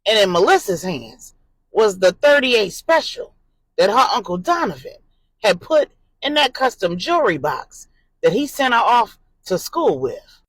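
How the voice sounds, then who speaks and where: A woman narrating an adult drama story in an expressive, suspenseful way and voicing the characters' dialogue. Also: mid-range voice, husky, no echo, low-quality recording.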